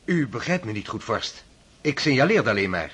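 An actor playing a polished, diplomatic young officer who speaks politely and smoothly in a radio play. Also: male voice, medium pitch, big pitch swings, moderate pace, fairly bright tone, exaggerated voice.

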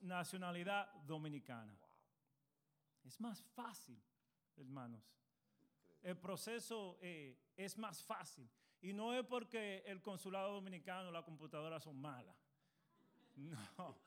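A man with a notably high speaking voice addresses a church audience as a pastor and lawyer. He talks earnestly, often pausing on fillers, and calls his listeners brothers. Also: a bit bright. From United States. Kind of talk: church panel talk.

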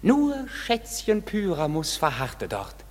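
A man playing a mischievous, excitable woodland sprite in a radio drama, declaiming rhymed verse theatrically with a husky edge. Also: sits high, takes it slowly, big-room sound, full character voice. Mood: gleeful boasting. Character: mischievous gleeful male woodland sprite, servant to fairy king. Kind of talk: classic stage-verse radio drama acting.

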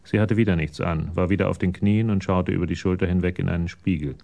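An actor as the narrator of a crime radio play, reading in a measured, detached manner. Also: male voice, sits low, steady tone, creaky, warm tone.

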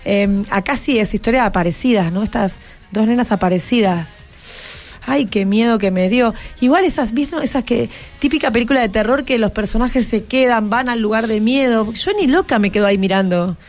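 Higher-pitched Argentine woman radio host. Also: fairly quick, faint music behind. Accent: Argentine, Rioplatense. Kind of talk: casual interview with schoolchildren.

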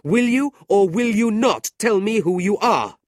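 Man playing an indignant young gentleman, raised pitch. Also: very animated, measured pace, husky, no echo, clean studio sound. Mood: furious. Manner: earnest, emphatic, challenging. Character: indignant, honourable young gentleman defending his sister. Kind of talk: audiobook character voice.

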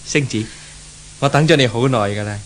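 An actor playing a polite, spurned young boyfriend in a 1970s radio drama, meek and pleading, with a Hong Kong accent. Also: male voice, medium pitch, moderate pace, a little hiss or hum, lightly acted. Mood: eager, puzzled.

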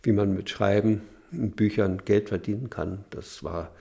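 An older man remembers in an interview, halting and restarting as he goes. His voice is low and gravelly, with a lot of fry. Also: fairly even intonation, dark tone. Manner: hesitant, halting recollection. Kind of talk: interview recollection.

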